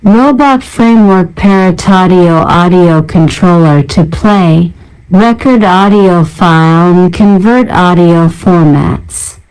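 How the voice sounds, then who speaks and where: Female narrator, lowish smooth voice, unhurried scripted read-out of technical names and short descriptions. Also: darkish tone, rough recording. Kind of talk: scripted narration, reading out a software library list.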